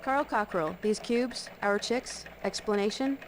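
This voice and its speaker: An actress playing an earnest, suspicious hen news co-anchor in a video game, questioning pointedly and dramatically. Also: female voice, mid-range voice, measured pace, noisy background, lightly acted.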